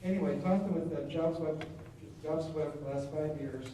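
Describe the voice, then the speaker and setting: A man hosting a professional meeting, introducing the next speaker with halting, filler-heavy speech. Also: on the high side, steady tone, warm tone. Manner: hesitant, many uh fillers. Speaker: event organizer and host. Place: meeting room with audience. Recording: echoey room from far off with noise.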